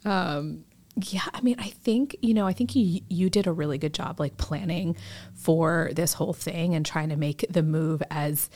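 A woman in her thirties with a slightly low voice chats as a podcast co-host. Her delivery is informal and conversational, with a light teasing manner. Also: normal speed, darkish tone. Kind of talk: casual conversational podcast chat.

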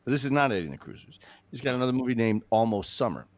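A man's voice: mid-range voice, rapid-fire, no echo. A man, a filmmaker guest, chatting animatedly on a podcast, swearing and joking. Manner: animated, swears, jokes, gets choked up. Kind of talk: casual podcast chat. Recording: dull lo-fi, dry.

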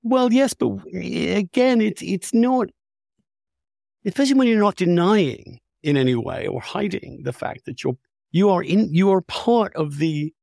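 A man with a somewhat high-pitched voice speaks in a close, dry recording. He is articulate and opinionated, pressing his points in candid conversation and often doubling back to restart a phrase. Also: vocal fry, a little mellow, no echo. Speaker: podcast host.

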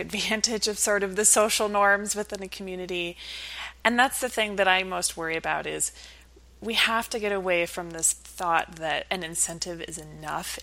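Slightly low-voiced woman explaining as expert guest. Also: gravelly, sharp tone, rough recording. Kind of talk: conversational interview explanation.

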